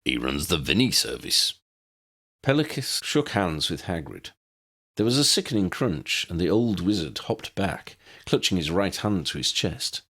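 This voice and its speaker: Deep, creaky male storyteller. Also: animated, normal speed, a bit bright. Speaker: audiobook narrator. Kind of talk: scripted fiction narration with character voices.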